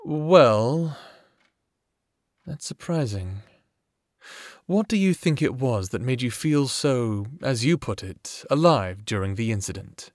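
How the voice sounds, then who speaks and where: A man playing an attentive, gentle therapist in an audio roleplay, measured, polite and probing. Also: mid-range voice, animated, dry recording, studio quality. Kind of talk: audio roleplay acting, one-sided conversation.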